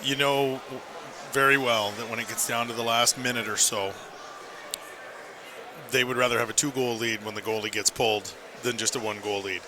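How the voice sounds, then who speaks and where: A man's voice: mid-range voice, steady tone, moderate pace, crowd noise. A man giving opinionated, emphatic color commentary on a live hockey radio broadcast. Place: indoor ice rink. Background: loud rink ambience and noise.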